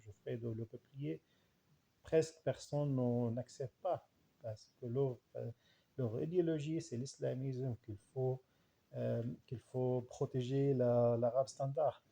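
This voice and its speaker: Male, Arabic accent and mother tongue. Very dark, relaxed timbre with fry; halting, repetitive, informal delivery. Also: medium pitch. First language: Arabic dialect. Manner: halting, searching for words, much repetition. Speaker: language researcher and writer. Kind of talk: informal spoken reflection.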